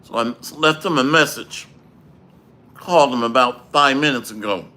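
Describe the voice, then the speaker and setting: A man teaching a livestreamed Bible lesson with a dry throat, clearing it often and preaching in a measured way. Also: mid-range voice, bright, forceful tone. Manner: slow, measured preaching with Hebrew phrases. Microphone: livestream microphone.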